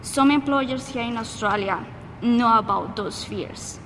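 Colombian woman, higher voice, echoing noisy recording. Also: unhurried, fairly bright tone. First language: Spanish. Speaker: interviewee, migrant worker. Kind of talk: interview testimony. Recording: noisy, long echoing reverb.

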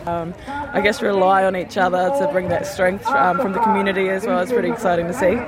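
A woman footy player from Kempsey, quick and enthusiastic in a vox pop interview. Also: medium pitch, over a noisy crowd, poor audio. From Kempsey, New South Wales.

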